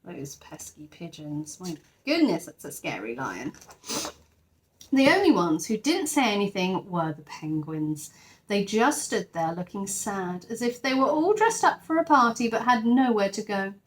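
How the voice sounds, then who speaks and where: A woman's voice: mid-range voice, very animated, unhurried. A woman teacher reading a storybook expressively to young children, with chatty asides to the listeners. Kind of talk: animated storybook read-aloud.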